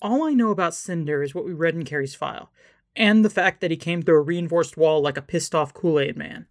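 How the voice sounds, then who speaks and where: A woman's voice: low voice, fairly quick, no room sound. A woman playing a wary, plain-spoken rescue team member in an audio drama, blunt and questioning.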